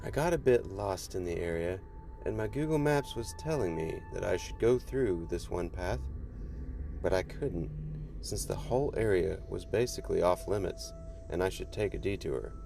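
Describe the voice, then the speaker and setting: Male horror-podcast narrator: very low, gravelly voice, measured scripted storytelling over a clear music bed. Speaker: podcast host and reader. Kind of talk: scripted narration of first-person creepy stories. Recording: music under the voice. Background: background music.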